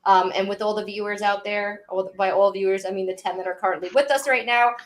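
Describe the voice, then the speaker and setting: A woman's voice: medium pitch, quick pace. A woman in her thirties with a Long Island accent, co-hosting a live podcast and telling stories in casual chat, acting out the quotes. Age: 30s. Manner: animated storyteller, acts out quotes. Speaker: podcast co-host.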